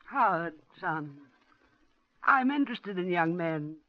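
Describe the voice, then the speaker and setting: A woman's voice: fairly low pitch, animated, takes it slowly, no room sound. An actress playing a genteel, motherly middle-aged woman who narrates her own ordeal in a 1940s radio drama. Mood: gently worried. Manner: genteel, motherly, growing frantic. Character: kindly widowed woman, mother of two servicemen, terrified. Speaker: narrator and lead character. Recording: muffled 1940s radio broadcast.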